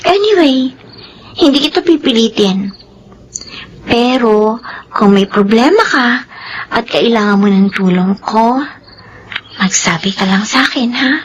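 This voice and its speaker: An actress in a Filipino radio drama playing an eager, caring older sister and devoted daughter with expressive delivery. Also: female voice, on the high side, measured pace, long pauses, a little hiss or hum, poor audio. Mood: gentle, caring.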